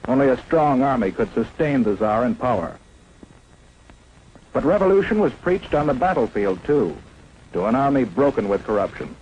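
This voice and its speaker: Old 1950s film narrator guy, super lively pitch, dramatic and emphatic, bit of hiss on the track. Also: muffled recording. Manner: emphatic, declamatory delivery.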